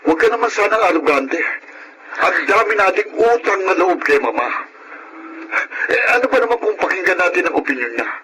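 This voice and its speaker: A man's voice: high-pitched, animated, a little fast, background noise. A man playing an insecure, emotional husband devoted to his mother, with a Filipino accent, in a radio drama. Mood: accusing.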